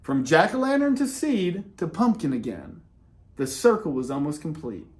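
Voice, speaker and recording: Man, slightly high voice, very lively intonation, children's read-aloud, expressive emphasis, dramatic pauses. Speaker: storyteller reading a children's picture book. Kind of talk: animated read-aloud with casual asides.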